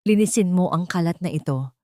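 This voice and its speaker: A woman's voice: on the low side, a little fast, no room sound, studio quality, close to an everyday voice. Woman doing a furious rich father. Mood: cold commanding. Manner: cold commanding shouts. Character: harsh rich middle-aged father, furious.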